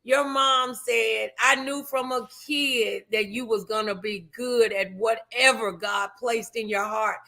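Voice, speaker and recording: A woman hosting a faith-based interview show, speaking in an encouraging, affirming, gently preachy way. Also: a little high, fairly even intonation, unhurried, hardly any pauses. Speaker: talk show host.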